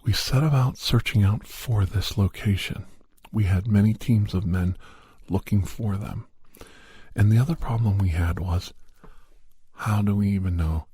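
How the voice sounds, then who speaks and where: Very low, breathy male ASMR storyteller. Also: dry recording. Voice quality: soft, breathy, often dropping into whisper. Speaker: podcast host narrating a story in character as a doctor. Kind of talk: ASMR storytelling, first-person fiction.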